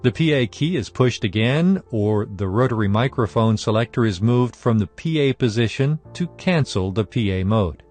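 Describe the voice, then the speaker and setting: A man reading a scripted technical training lesson in a formal, measured, instructional manner. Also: mid-range voice, lively intonation, darkish tone, soft background music. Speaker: instructor narrator.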